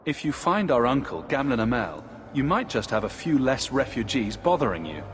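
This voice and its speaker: An actor playing a young refugee hero in a video game, composed, diplomatic and dryly wry. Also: male voice, mid-range voice, sing-song, normal speed, background noise, close to an everyday voice. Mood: persuasive.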